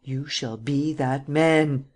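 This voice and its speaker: A woman's voice: deep voice, normal speed, a little mellow. A woman reading the part of a ruthless, commanding male nobleman in an audiobook, speaking with vehemence. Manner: imperious, vehement.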